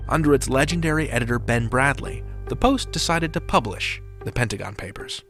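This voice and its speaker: A man hosting a podcast, giving a scripted introduction with steady, measured presenting. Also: medium pitch. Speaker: podcast host. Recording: voice over music.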